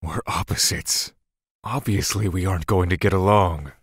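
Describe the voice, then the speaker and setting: An actor playing a stern, dutiful god of death in a fantasy audio drama, speaking in a stiff, curt, commanding manner. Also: male voice, sits low, normal speed, husky, no echo, studio quality, full character voice. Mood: stern, grave.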